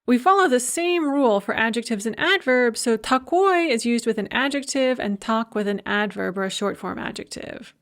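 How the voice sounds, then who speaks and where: A woman lecturing on Russian grammar, speaking clearly and measuredly, and slowing down to model the Russian example sentences. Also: on the high side, lively intonation. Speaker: university Russian language lecturer. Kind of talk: recorded language lecture.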